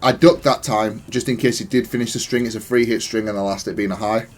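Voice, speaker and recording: A man's voice: on the low side, steady tone, quick pace. A man giving casual, analytical gameplay commentary in a matter-of-fact, self-critical way. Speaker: gaming content creator.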